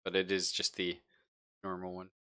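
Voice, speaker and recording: Guy running a tabletop rpg, deep but forceful voice, kinda feels around for words. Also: completely flat delivery, normal speed, clean tone. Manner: hesitant, searching for words. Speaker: game master. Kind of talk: casual improvised narration of a tabletop game.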